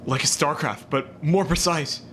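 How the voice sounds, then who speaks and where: A man playing a frightened marine private in a sci-fi audio drama, slangy and halting. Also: fairly bright tone. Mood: uneasy recounting. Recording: rumbling background noise.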